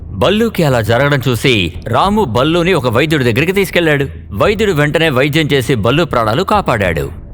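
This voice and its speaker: Expressive male storybook narrator. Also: a little high, brisk, a little hiss or hum. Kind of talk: storybook narration for an animated tale. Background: faint background music.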